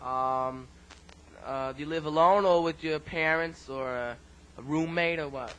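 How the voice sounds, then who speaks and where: A man's voice: a little high, measured pace, a bit bright, smooth, poor audio. A man playing a street interviewer, chatty and bemused, often stammering as he fumbles his questions.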